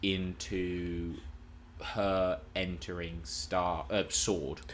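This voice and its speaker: A man's voice: low voice, level tone, drawn-out words, a little hiss or hum. A man co-hosting a podcast, discussing film and TV casually in an emphatic, analytical, argumentative way. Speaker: podcast co-host.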